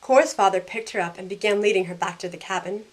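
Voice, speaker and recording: A sarcastic young woman tells her own story in an audio drama, acted by a woman. Her voice sits at a middle pitch and moves between narration and dialogue in a self-questioning way. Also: quick pace, close to an everyday voice, low-quality recording. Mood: bright, playful.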